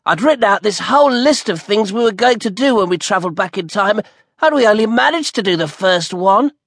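A man voicing an earnest, excitable ten-year-old boy who is the best friend. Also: high voice, brisk, dry recording, studio quality, pitched above the performer's own voice, full character voice. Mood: eager.

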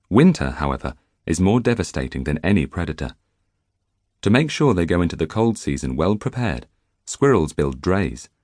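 Deep, expressive male audiobook narrator. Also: dry recording, studio quality. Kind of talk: scripted nonfiction audiobook narration. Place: dry recording studio. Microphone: close studio microphone.

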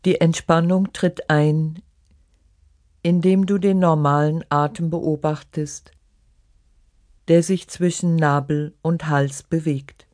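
A meditation guide reading a scripted relaxation in short, measured, instructive phrases. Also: mid-range voice, steady tone, darkish tone, no room sound, studio quality. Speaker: yoga nidra meditation guide.

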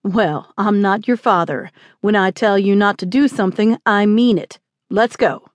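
A woman's voice: mid-range voice, a little slow, no room sound, lightly acted. Woman voicing a stern, commanding frontier marshal, close and dry. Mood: scolding. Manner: firm, irritable, ordering. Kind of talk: acted audiobook dialogue.

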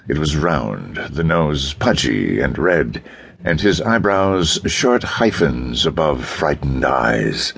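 A man narrates pulp fiction for an audiobook. His voice is exceptionally deep, with a husky grain. The reading is scripted and dramatic. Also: fairly bright tone.

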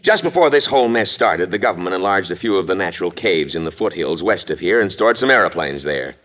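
An actor playing a calm, skeptical, shrewd mayor in classic radio drama, speaking in a measured, formal, dryly ironic way. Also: male voice, sits low. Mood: composed, shrewd. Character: calm, wry, skeptical small-city mayor, civil but shrewd. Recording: dull vintage radio recording.

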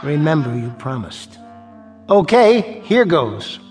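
Weary, nervous club ventriloquist, American, high male voice, swooping intonation, placating banter, radio drama. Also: big pitch swings, slow pace, husky, faint music behind, heavily acted. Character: uneasy male nightclub ventriloquist, weary straight man to his dummy.

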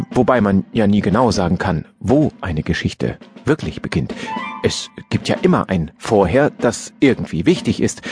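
A man narrating a children's story in a playful, expressive way, with musing asides. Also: on the low side. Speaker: audiobook narrator.

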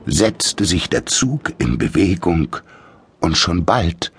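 A man reads a children's tale in a very deep, husky voice. His delivery is slow and full of dramatic swings in pitch, with a close, dry sound. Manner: slow, expressive, dramatic storytelling. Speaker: audiobook narrator. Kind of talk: scripted children's story narration.

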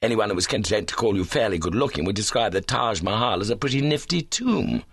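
A man acts out a lovestruck young fellow from a comic storybook, lively and swooping in pitch. He talks in breezy slang, eager and earnest, in a close dry sound. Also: quick pace, husky, dry recording, full character voice. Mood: ardent. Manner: breezy, earnest, indignant when rebuffed. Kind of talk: comic short-story reading.

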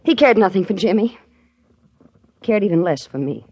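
A divorced mother in a vintage radio crime play, polite on the surface and cutting underneath. Her voice sits at an ordinary pitch with a brittle, genteel edge. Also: lively intonation, moderate pace, darkish tone, breathy edge. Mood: bitter. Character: bitter, cool divorced mother, middle-aged. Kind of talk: 1950s radio drama acting.

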